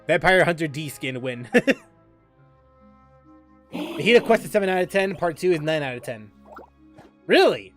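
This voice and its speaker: High, lively-voiced male gamer. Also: normal speed, long pauses, soft background music. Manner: musing, rambling, with restarts and laughs. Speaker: gaming streamer. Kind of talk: casual gameplay commentary.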